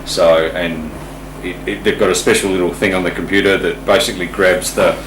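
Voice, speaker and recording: A man explaining things informally and haltingly, repeating words, in an echoey room away from the mic. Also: low voice, fairly even intonation. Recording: echoey room, distant mic, faint noise.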